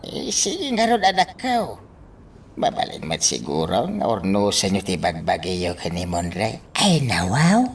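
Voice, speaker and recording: An actor playing a gentle, coaxing elderly father in a radio drama. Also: sits low, huge pitch swings, moderate pace, vocal fry, heavily acted. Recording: noisy, distant microphone.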